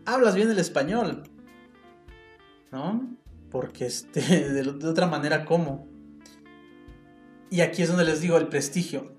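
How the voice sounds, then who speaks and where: Mexican man lecturing in an echoey room. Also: fairly high pitch. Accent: Mexican Spanish. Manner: conversational, emphatic, with rhetorical questions. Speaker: lecturer on language. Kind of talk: informal lecture.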